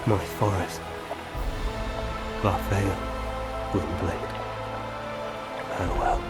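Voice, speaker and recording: An actor playing a dying, melancholy male elf seer in a fantasy game, speaking wearily and haltingly. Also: deep voice, flat delivery, loud music behind the voice. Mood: fading, farewell. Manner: weary, halting, solemn storytelling. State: mortally wounded, fading. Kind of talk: dramatic fantasy video game acting.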